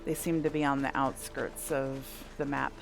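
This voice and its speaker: Woman, low pitch, creaky fry, casual gamer commentary, thinking aloud, game music underneath. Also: level tone, normal speed. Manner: rambling, thinking aloud, mildly sweary. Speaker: gameplay streamer. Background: video game music and sounds.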